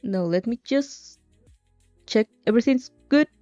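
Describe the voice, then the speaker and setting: Slow, mellow woman streamer in a roomy space. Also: a little high, expressive. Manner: halting, repeats herself, giggly. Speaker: streamer reading fan fiction aloud. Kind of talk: casual livestream chat and read-aloud. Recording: muffled, roomy home recording with music.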